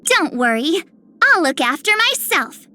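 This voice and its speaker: A very high-voiced bubbly little girl. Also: very animated, a little slow, pushed and bright, full character voice. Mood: fond, chatty. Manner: childlike, friendly. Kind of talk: scripted game character lines.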